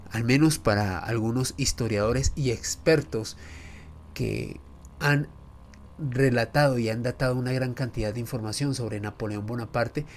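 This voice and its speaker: A man's voice: mid-range voice, a little hiss or hum. A man hosting a history and mystery show, narrating in a formal, emphatic, rhetorical storytelling manner. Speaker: host of a history and mystery channel. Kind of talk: semi-scripted documentary narration.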